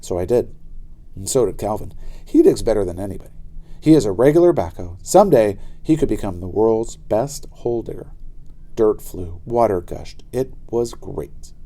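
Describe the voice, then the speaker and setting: A man narrating a children's story aloud in an expressive, dramatic way. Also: lowish voice, moderate pace. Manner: animated, dramatic delivery.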